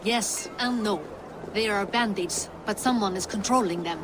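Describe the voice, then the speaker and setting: A woman playing an earnest, loyal, steady Viking-era character in a dramatic video game. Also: medium pitch, normal speed, background noise, lightly acted. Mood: concerned.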